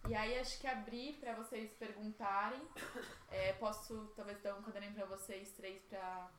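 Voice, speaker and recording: An echoey, noisy room recording of a Brazilian woman. She has a mid-pitched voice and guides a group informally, in casual speech. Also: steady tone, normal speed, fairly bright tone. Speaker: workshop facilitator.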